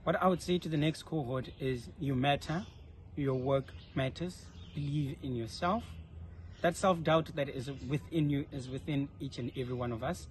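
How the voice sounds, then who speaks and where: A man's voice: a little high, moderate pace, poor audio. A young man speaking earnestly and articulately, giving reflective answers in an informal interview. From South Africa. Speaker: interviewee, leadership fellow. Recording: faint background noise, ambient.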